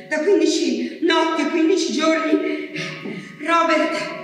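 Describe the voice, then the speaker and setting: An actress performing a theatrical monologue as an anguished wartime woman waiting for her missing husband, with slow, halting, intense delivery. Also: sits high, fairly bright tone. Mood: anguished, despairing. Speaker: stage actress. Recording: echoey hall, distant mic, faint noise.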